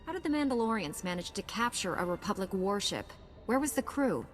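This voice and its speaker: An actress plays a capable female Jedi knight, the hero of a video game. She has a medium-pitched voice and speaks with direct assurance. Faint music plays behind her. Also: sing-song, brisk, lightly acted. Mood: confident. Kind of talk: scripted game dialogue.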